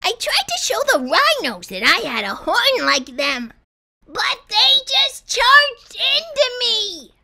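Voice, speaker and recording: A woman voicing a lonely young giraffe longing for friends in an earnest, childlike, expressive storybook style. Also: extremely high pitch, slow pace, gravelly, voice pushed up, exaggerated voice. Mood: plaintive. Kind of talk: children's storybook character reading.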